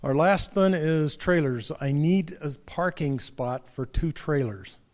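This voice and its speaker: Mellow-voiced man running a club meeting. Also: on the high side, takes it slowly. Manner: relaxed, rambling with fillers and restarts. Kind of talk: casual announcements at a club meeting. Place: meeting room.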